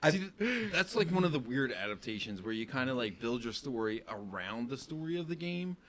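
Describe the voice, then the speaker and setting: Casual male podcast panelist, medium pitch, informal and opinionated delivery. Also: very animated, brisk, fairly bright tone. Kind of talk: casual chat.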